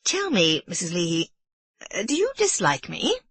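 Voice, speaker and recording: Woman doing an irritable ill man, sharp questioning, husky, swinging pitch, storybook. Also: huge pitch swings, normal speed, no room sound, exaggerated voice. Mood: probing. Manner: sharp, interrogating. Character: irritable middle-aged man, ill and suspicious.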